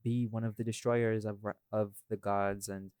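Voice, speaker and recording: A man's voice: lowish voice, level tone, normal speed, a little mellow, clean tone, no echo, clean studio sound. A man hosting a casual podcast discussion, rambling and thinking aloud as he goes. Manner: rambling, thinking aloud, often checks with "right?". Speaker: podcast host and audio series creator.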